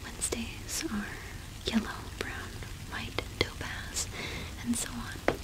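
Whispering young woman, slow and hushed. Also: a little high, level tone, rough recording. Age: young adult. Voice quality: soft breathy whisper. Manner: gentle, unhurried, halting. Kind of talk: ASMR roleplay as a cottage witch shopkeeper.

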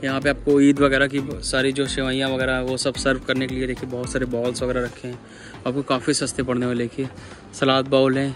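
A man with a North Indian accent giving a casual, repetitive walk-through shopping commentary as a vlogger, addressing viewers like buddies. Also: medium pitch. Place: large busy supermarket, indoors. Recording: background music over store vlog audio. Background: music.

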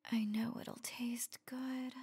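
A woman voicing an affectionate, teasing, possessive werewolf lover in intimate ASMR roleplay, soft and breathy, often slipping into a whisper. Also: a little high, little pitch movement, full character voice. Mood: sultry, playful. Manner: slow, sultry, playful murmuring.

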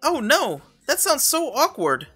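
A woman voicing an excitable, easily exasperated young boy in a web comic dub, with a casual, exclamatory delivery. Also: high voice, measured pace, exaggerated voice. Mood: excited, curious.

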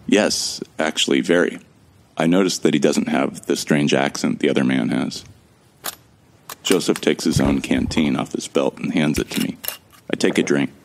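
A man with an extremely deep voice narrates a sci-fi audio drama in the first person. His tone is wry and casual, with jokes and the odd swear word, and a gravelly fry runs through his speech. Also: fairly even intonation, normal speed. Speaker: narrator and protagonist.

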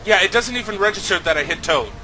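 A man commentating his own racing game playthrough, slightly sick and nasal, swearing often with sudden loud outbursts. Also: high-pitched, expressive, fast talker, faint music behind, rough recording. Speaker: let's play host. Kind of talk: casual gameplay commentary.

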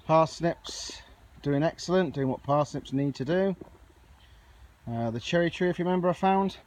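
A man's voice: a little high, moderate pace, darkish tone. A man giving a casual, chatty off-the-cuff video tour as an amateur gardening vlogger, outdoors on a handheld camera. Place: outdoors on an allotment. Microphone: handheld camera or phone. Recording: clearly noisy outdoor handheld recording.

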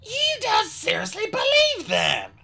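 A woman's voice: mid-range voice, sing-song, moderate pace, background noise, voice pushed up. A woman voicing a hostile, suspicious male dragon who snarls accusations in a storybook reading. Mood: outraged.

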